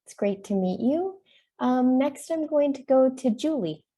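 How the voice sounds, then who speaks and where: Panel moderator, woman, fairly high and very dark-toned voice, friendly, brisk, on a video call. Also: expressive.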